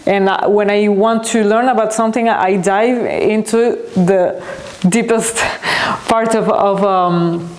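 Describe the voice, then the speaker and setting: A woman speaks in a middle-pitched voice, earnest and reflective, pausing now and then to find the right word. Her talk has the loose flow of a podcast host answering listener questions. The room around her leaves a clear, roomy echo. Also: fairly even intonation. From Colombia. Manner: earnest and reflective, searching for words. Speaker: podcast host and company founder.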